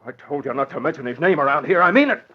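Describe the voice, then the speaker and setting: A man's voice: a little high, animated, fast talker, a little hiss or hum, lightly acted. A man playing a shabby, desperate, weak-willed husband in a 1940s radio drama, whining and agitated in slangy working-class talk. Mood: agitated, defensive. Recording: dull old radio broadcast recording.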